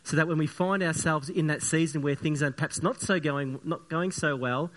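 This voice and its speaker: A man preaches a sermon with a croaky, slightly sniffly voice. He talks earnestly and haltingly, circling back and restarting his phrases. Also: on the high side, a bit bright. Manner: earnest, repetitive, halting with many fillers and restarts. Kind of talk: church sermon with opening prayer.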